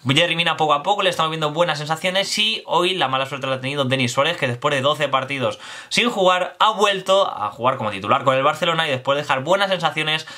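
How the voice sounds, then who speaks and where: Young guy in his bedroom, super quick, kinda high voice, chatty football news. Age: young adult. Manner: fast, energetic and chatty, addressing viewers directly. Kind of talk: casual news commentary to camera. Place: bedroom with posters.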